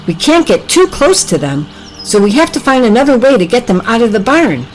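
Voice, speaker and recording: A woman voicing an earnest, excitable farm animal in a children's storybook. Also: on the high side, a little fast, faint music behind, pitched above the performer's own voice, rough recording. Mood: thoughtful.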